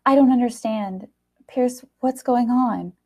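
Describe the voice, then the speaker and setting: A woman's voice: on the high side, slow pace, dark tone, pitched above the performer's own voice. A woman voicing a giddy young bride-to-be who is exclamatory and turns hesitant and pleading when challenged. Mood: confused.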